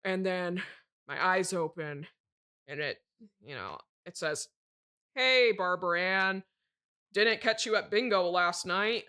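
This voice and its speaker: A woman playing a pompous, brooding horror novelist in a mock interview, melodramatic and condescending, pausing for effect. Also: fairly low pitch, sharp tone, clear, resonant tone. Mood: musing, dramatic. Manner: melodramatic, condescending, deliberate dramatic pauses. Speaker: interview guest.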